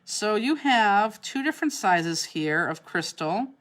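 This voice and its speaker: A woman's voice: medium pitch, very animated, measured pace, fairly bright tone. A woman from Cleveland with a Cleveland accent, hosting a live craft class and chatting as she answers viewers' comments. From Cleveland, Ohio. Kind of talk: live online craft demonstration. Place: craft studio, indoors.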